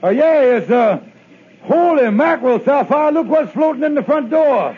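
An actor playing an excitable, skeptical fellow in an animated, drawling in a 1950s radio sitcom. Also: male voice, very high voice, normal speed, background noise. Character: excitable, wheedling husband and skeptical buddy. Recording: dull old radio broadcast recording.

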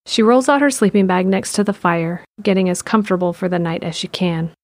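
A woman narrating an audio drama in a calm, steady read. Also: on the low side, brisk, no room sound.

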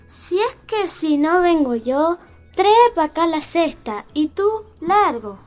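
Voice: very high voice, very animated, takes it slowly, faint music behind, exaggerated voice. A performer voicing a cranky, bossy old grandmother in a comic fairy-tale audio drama. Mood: scolding.

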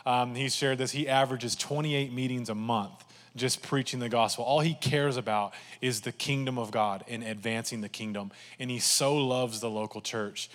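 A man's voice: mid-range voice, fairly even intonation, moderate pace, fairly bright tone. A man with a Texan accent, a campus pastor, giving a casual, joking welcome from the stage. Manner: joking, chatty, upbeat. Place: church sanctuary. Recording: church hall reverb.

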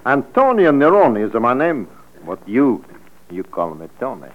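Hot-headed farmer in an old radio play, broken english, voice swoops all over. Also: male voice, mid-range voice, huge pitch swings, moderate pace. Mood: smitten. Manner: broken English, impulsive and gushing. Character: lovestruck immigrant vegetable farmer, hot-tempered. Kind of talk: 1940s radio acting. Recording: muffled old radio recording, faint music.

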